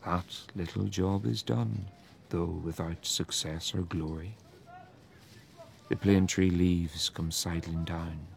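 A man giving a measured, declamatory reading of a long poem. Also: low voice, fairly even intonation, gravelly, slight background noise. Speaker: poetry reader. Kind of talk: dramatic poetry reading.

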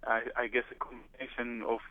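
A man's voice: medium pitch, little pitch movement, a little fast, no room sound. A man from Israel talks over a tinny, narrow phone line, his voice dry and close. He speaks hesitantly, with many "uh"s and restarts. He is a touring drummer answering interview questions.

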